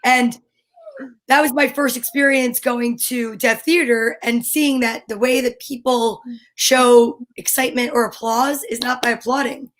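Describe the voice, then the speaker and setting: Lady host, high voice, chatty and curious, informal interview style. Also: fairly even intonation, a little slow, a bit bright, poor audio. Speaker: host of a children's story time show. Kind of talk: casual interview chat.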